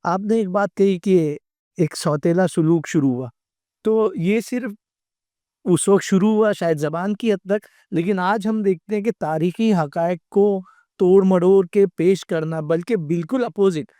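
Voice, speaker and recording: A man hosts a conversational interview. His voice sits high for a man and has a relaxed, mellow tone. The sound is close and dry, and he frames his questions reflectively. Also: unhurried, no echo. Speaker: interview host.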